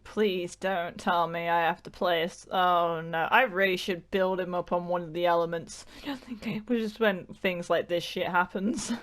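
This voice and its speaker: A woman's voice: on the low side, fairly even intonation, moderate pace, hardly any pauses, a bit bright. A woman giving blunt, sardonic, sweary reactions as she plays a video game. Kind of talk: casual reaction commentary while gaming.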